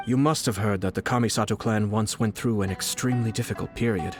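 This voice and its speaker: A man voicing a poised young nobleman commissioner in a video game, formal, measured and controlled, courteous with quiet menace. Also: fairly low pitch, steady tone, music in the background, close to an everyday voice. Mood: solemn, resolute. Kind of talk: video game voice acting, scripted dialogue.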